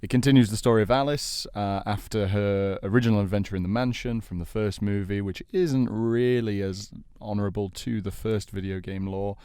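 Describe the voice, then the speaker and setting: Lowish-voiced male host, dry close sound. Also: moderate pace, hardly any pauses, darkish tone, smooth, no echo. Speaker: host of podcast debate. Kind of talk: conversational presenting.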